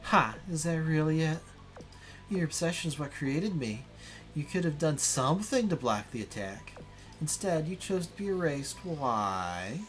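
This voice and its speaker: A man's voice: fairly high pitch, lively intonation, measured pace, soft background music. Man voicing a scornful, taunting female villain. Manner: theatrical, scornful, pressing rhetorical questions. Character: mocking, taunting female villain, a dark double.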